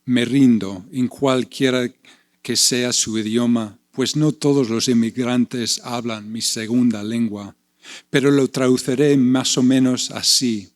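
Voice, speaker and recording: A slow-speaking man reading aloud and reflecting. A Spanish speaker with a relaxed, unhurried delivery, often repeating words as he searches for them. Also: medium pitch. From United States. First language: English. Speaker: panelist and poet. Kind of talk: panel discussion and poetry reading.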